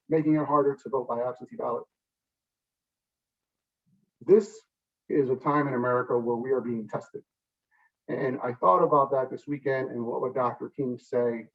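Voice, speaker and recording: Male politician, mid-range pitch, earnest public oratory, deliberate pauses, emphatic phrases, slightly muffled distant recording. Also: steady tone. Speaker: state senator giving a speech. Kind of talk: public commemorative address.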